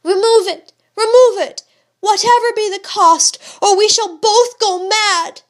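A woman's voice: high-pitched, unhurried, pitched above the performer's own voice. A woman reading the part of a devoted young wife in a raised voice, with formal old-fashioned speech. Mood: desperate. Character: devoted young wife, firm yet yielding.

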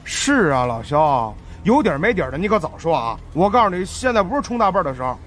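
A high, swooping voice for a mouthy fat investigator in an audiobook. He is blunt and sardonic. There is noisy ambience behind him. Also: very animated, fast talker, fairly bright tone. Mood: nagging. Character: mouthy, irreverent fat man, sidekick investigator. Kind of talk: audiobook character acting.

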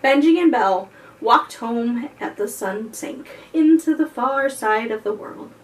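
An American woman in her thirties hosting a children's storytime, reading and talking in an expressive, sing-song manner. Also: a little high, measured pace. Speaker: children's librarian, storytime host. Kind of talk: children's storytime read-aloud and talk. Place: indoors, before a chalkboard backdrop. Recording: voice slightly distant from the mic.

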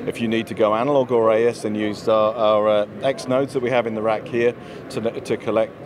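A man's voice: lowish voice, flat delivery, brisk. A man in his forties giving a conversational product explanation as an interview guest, into a handheld microphone. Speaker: company executive, interview guest. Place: trade show booth, indoors. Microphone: handheld microphone held by interviewer. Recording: clear busy trade-show floor noise.

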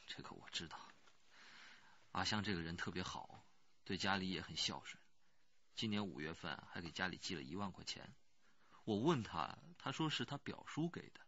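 A man's voice: sits low, lively intonation, moderate pace, bright, forceful tone, breathy edge, lo-fi sound, heavily acted. An actor playing an anxious rural working man who pleads earnestly and hesitantly in a radio crime drama. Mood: cooperative, explaining.

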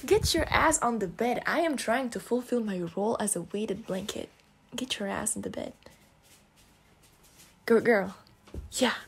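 A woman playing a giggly, teasing, affectionate girlfriend in an intimate ASMR roleplay, non-native in English, with soft, casual, rambling talk. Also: on the high side, unhurried, creaky, long pauses, slightly rough, heavily acted. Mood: amused, playful. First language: not English. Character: giggly, teasing, affectionate gamer girlfriend comforting her partner.

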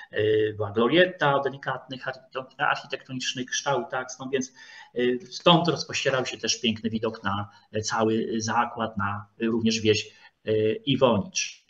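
Halting man lecturing, dull audio. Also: mid-range voice, fairly bright tone. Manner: halting, hesitant, with frequent drawn-out filler sounds. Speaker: lecturer, historian. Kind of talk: online lecture with slides. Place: online video call. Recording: dull, lo-fi video call audio.

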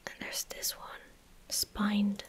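A woman in her twenties giving soft-spoken ASMR game commentary in a breathy, gentle whisper, unhurried and close to the microphone. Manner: slow, unhurried, gentle murmuring. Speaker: ASMR streamer. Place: small indoor room at a desk. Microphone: close desk microphone.

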